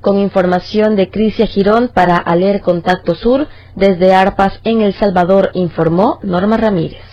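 A woman correspondent reading a news report in a formal, even manner. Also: medium pitch, a little slow, few pauses, no room sound. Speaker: radio correspondent. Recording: dull lo-fi report audio.

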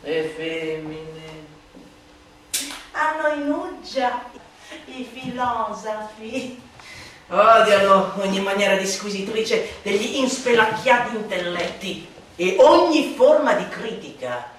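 A woman giving a theatrical, mocking, declamatory recitation of a satirical text, swinging between hushed slow phrases and loud sing-song. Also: medium pitch, lively intonation. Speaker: stage performer reciting a literary monologue. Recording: very echoey room, distant mic, light noise.

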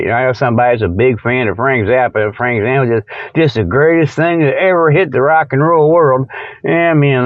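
A man hosting a radio show, delivering a rambling, irreverent comic monologue with mock sales pitches in a folksy, tangent-prone way. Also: dry recording. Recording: dull lo-fi radio sound.

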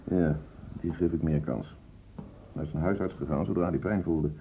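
An actor playing a matter-of-fact, world-weary hospital doctor, reporting tersely in a radio play. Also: male voice, extremely low pitch, moderate pace, dark tone. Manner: colloquial, terse clinical reporting. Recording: tinny, noisy old radio play recording.

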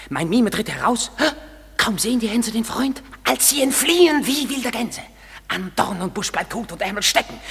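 A man's voice: high voice, exaggerated voice. An actor playing a mischievous sprite who recounts his prank with glee, in a classic German radio play. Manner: gleeful, animated storytelling verse. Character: Puck, mischievous male sprite. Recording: husky voice in a long reverb.

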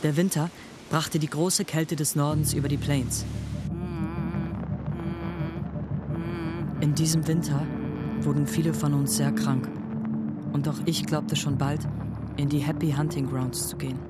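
A somewhat high male voice acted by a man as an earnest young tribesman in a Western radio play. He speaks as a storyteller over loud music. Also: level tone, normal speed, lightly acted. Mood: solemn. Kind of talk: storytelling narration and acting in Western radio drama.

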